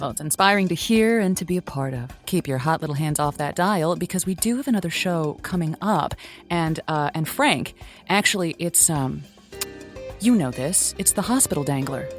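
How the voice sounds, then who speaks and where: A woman playing an effusive, flustered lonely-hearts radio host who talks in halting, repeated starts, in an improvised comedy style. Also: on the low side, natural voice. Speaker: radio call-in advice show host.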